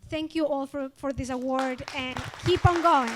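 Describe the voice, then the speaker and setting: Woman, Ecuadorian Spanish accent, high voice, earnest acceptance speech, grateful, halting. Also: a loud crowd, heavy background noise. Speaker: award honoree.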